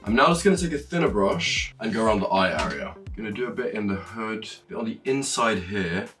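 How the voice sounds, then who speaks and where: Lively fast-talking young man. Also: fairly low pitch, recorded from a distance, music in the background. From Norway. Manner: chatty and animated, swearing and laughing while explaining. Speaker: makeup vlogger. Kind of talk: casual tutorial chat.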